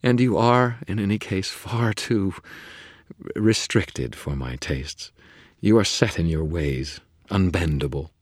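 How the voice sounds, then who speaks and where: A man's voice: deep voice, animated, slow, breathy edge, no echo, clean studio sound, voice pushed up, exaggerated voice. A man voicing a sly, seductive female fairy who purrs and bargains with teasing whispers. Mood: mocking. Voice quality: purring, teasing, whispery at times. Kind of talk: audiobook character voice.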